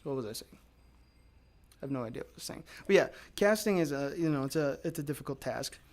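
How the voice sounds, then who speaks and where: A man with a raspy, low voice from allergies, talking casually and rambling to viewers as he demonstrates mold casting. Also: lively intonation, quick pace, a little mellow. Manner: rambling, with many fillers and restarts. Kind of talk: casual livestream talk to viewers.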